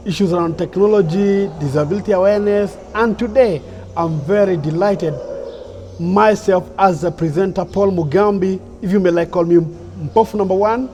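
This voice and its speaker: A man's voice: high voice, moderate pace, warm tone, a little hiss or hum. A man hosting an interview, enthusiastic and formal, with many fillers. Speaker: host, presenter.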